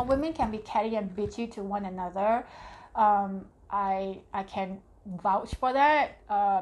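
A woman's voice: medium pitch, darkish tone, slight background noise, poor audio. A woman from Singapore, hosting a casual spiritual live talk and chatting through viewer comments. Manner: chatty, reads viewer comments aloud, tags "right?". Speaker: live stream host.

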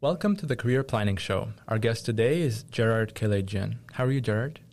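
Low-pitched male interview host. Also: lively intonation, a little fast, darkish tone, soft background music. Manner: polite, long winding questions with small affirmations. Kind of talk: interview hosting.

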